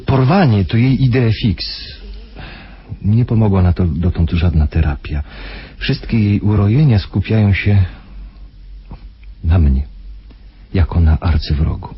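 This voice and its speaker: Grave persuasive husband, very husky low voice, swooping intonation, halting delivery, radio play. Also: male voice, animated, dull audio, full character voice. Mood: grave, measured. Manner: halting, deliberate, with weighty pauses. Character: grave, hesitant, smoothly persuasive husband of an unstable wife.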